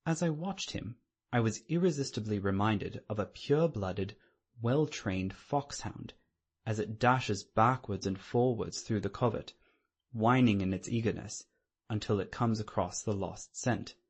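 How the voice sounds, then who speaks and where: A man's voice: fairly low pitch, a little slow, slightly rough. A man reading scripted literary narration as the narrator of an audiobook.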